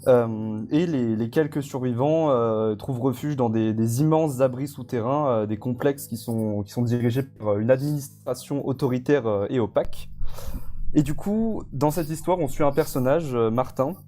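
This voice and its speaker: Mellow-voiced man, hesitant casual interview guest. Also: mid-range voice, normal speed, faint music behind. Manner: hesitant, informal, rambling. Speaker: guest, audio fiction creator.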